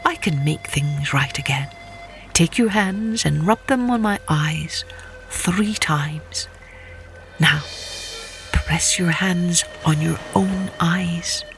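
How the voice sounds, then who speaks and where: A woman voicing a gentle magical red snake in a storybook tale, speaking slowly and reassuringly in a hushed tone. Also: fairly low pitch, faint music behind. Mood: calm, reassuring. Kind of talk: storybook narration.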